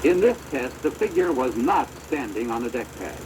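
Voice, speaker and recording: American male narrator, formal and instructive, very animated intonation, measured scripted delivery, deteriorated 16mm film sound with clear machine noise. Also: mid-range voice. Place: recorded off an old film projector.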